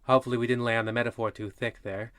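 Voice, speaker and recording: A man's voice: mid-range voice, level tone, racing, fairly bright tone, close to an everyday voice. A man playing a coy, mock-formal narrator and host in a parody of old radio shows. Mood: arch.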